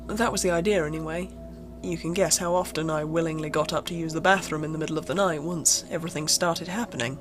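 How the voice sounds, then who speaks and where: A woman reading a first-person horror story aloud in a dramatic, suspenseful, acted-out way. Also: lowish voice. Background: music.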